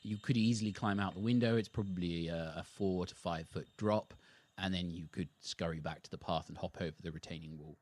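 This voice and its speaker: A man's voice: low voice, steady tone, fairly quick, a little mellow. A man narrating a tabletop role-playing game as the game master, describing scenes and calling for rolls.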